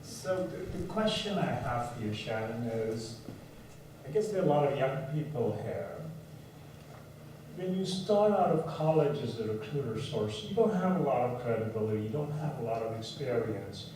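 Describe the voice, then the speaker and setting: A man's voice: medium pitch, lively intonation, moderate pace, dark tone. Man in audience asking a question, thoughtful, distant, big echoey hall, noticeable background noise. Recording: echoey hall from far off, noisy.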